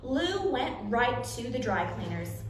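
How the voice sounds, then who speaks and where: A woman reading a picture book to children as a story time host, expressive, with dramatic emphasis and pauses. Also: a little high. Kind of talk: animated picture-book read-aloud for children. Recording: echoey room, distant mic, light noise.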